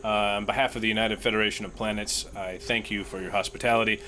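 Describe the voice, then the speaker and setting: A man's voice: fairly low pitch, fairly even intonation, fast, sharp tone, voice pushed down. Man playing a formal starship captain. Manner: formal, ceremonious. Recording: clear background noise.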